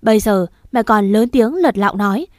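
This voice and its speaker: A woman voicing a desperate young mother who pleads through tears and shouts in fury. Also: on the high side, expressive, normal speed, no echo, studio quality, lightly acted. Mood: furious shouting. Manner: sobbing pleas turning to shouted fury.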